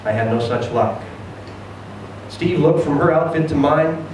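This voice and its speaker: A man's voice: on the high side, a little slow, darkish tone. Man reading a story in a very echoey room. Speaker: reader of a short story at a live event. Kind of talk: dramatic first-person story reading. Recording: very echoey room, distant mic, noisy.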